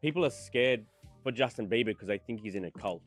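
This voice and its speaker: A man of medium pitch hosts a casual talk show with light music underneath. His delivery is informal and a little teasing. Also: brisk. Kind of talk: casual chat.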